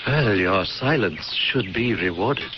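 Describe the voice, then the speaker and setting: Guarded high priest, muffled recording. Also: male voice, expressive, slow. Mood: smoothly calculating. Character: guarded, calculating high priest of ancient Pompeii, a secret murderer. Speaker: actor in radio drama. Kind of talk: theatrical radio drama acting. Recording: noisy, muffled old radio broadcast.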